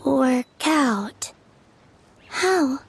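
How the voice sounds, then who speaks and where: A woman playing a gentle, hesitant, soft-spoken young woman in a video game. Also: high voice, expressive, very slow, creaky, a little hiss or hum, exaggerated voice. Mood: doubtful. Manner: hesitant, simple earnest phrasing.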